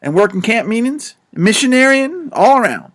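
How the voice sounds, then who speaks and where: A man's voice: very high-pitched, very animated, moderate pace, fairly bright tone, exaggerated voice. A man voicing an old bald male con man and preacher with a folksy backwoods drawl in an audiobook. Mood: boastful. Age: older. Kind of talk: audiobook character voice.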